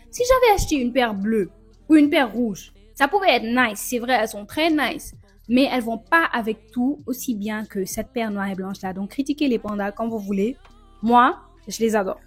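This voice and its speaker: A young woman from Senegal talks casually and enthusiastically to her viewers, dropping English words into her speech. Her voice sits a little high. Soft background music plays under her. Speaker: fashion vlogger. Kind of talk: casual vlog talk to camera.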